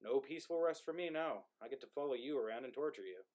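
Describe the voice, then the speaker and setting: A man's voice: medium pitch, expressive, fast, darkish tone, natural voice. A man playing a dead soldier's ghost in a play reading, wry and resentful, with a conversational, needling delivery. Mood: ominous. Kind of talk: play reading, modern drama.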